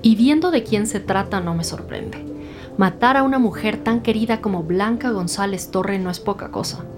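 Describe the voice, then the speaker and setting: Senior female detective acted by a woman, podcast drama; crisp authority, probing questions, medium register. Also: mid-range voice, normal speed, music in the background, close to an everyday voice. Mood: authoritative. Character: commanding, probing woman lead detective and boss. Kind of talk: scripted audio drama dialogue.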